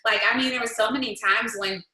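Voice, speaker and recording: A woman's voice: on the high side, steady tone, quick pace, bright, forceful tone, roomy sound. A woman in her thirties telling stories as a guest, bold and emphatic, laughing often. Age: 30s. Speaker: guest, business leader. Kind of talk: casual storytelling, motivational.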